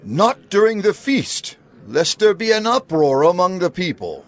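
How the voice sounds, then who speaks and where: A man's voice: high-pitched, sing-song, measured pace. A man voicing a wary, scheming priest who speaks urgently and cautions others in a scripture dramatization. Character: wary, scheming male priest plotting in council.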